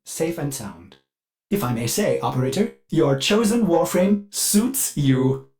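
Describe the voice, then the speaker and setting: A man's voice: fairly high pitch, very animated, measured pace, a little mellow, soft background music. A man voicing a fussy, servile ship computer AI in a video game, speaking in a chirpy, formal and theatrical way with an undercurrent of violent glitches. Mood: fussy, eager. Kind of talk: video game character voice lines.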